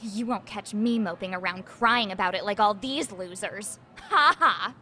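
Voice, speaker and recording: A woman's voice: mid-range voice, moderate pace, sharp tone, soft background music, close to an everyday voice. A woman voicing an abrasive, scornful teenage schoolgirl in a video game dub. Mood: contemptuous. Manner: scornful, brash. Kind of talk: English game dub dialogue.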